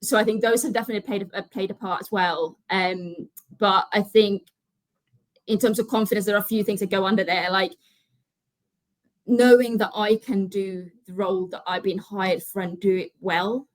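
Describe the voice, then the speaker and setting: A woman's voice: medium pitch, fairly even intonation, normal speed, no echo. A young woman from Finland, a podcast guest talking in a casual, earnest way, often restarting her sentences. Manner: earnest, many restarts and "you know". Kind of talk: casual interview chat.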